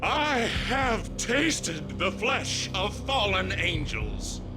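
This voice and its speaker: A man's voice: high-pitched, big pitch swings, drawn-out words, full character voice. A man playing a deranged, occult-obsessed mob boss in a video game, ranting theatrically like a wolf and swinging from growl to shriek. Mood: ominous, feverish. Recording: reverberant hall, loud music.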